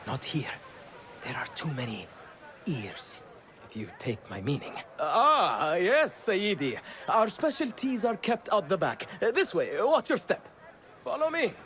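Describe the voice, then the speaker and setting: An actor playing a friendly, excitable informant in a video game, polite and conspiratorial. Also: male voice, high-pitched, a little slow, a little hiss or hum, heavily acted. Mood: furtive, then showy, welcoming. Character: furtive, excitable, friendly male tea-house informant. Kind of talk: video game cutscene acting.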